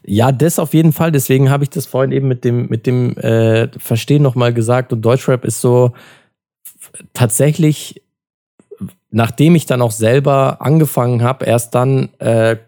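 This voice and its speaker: A young man with a soft, mellow tone. His pitch sits in a middle range for a man. He talks like a podcast co-host, loose and slangy, in an informal chat. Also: fairly even intonation, normal speed. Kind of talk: casual chat.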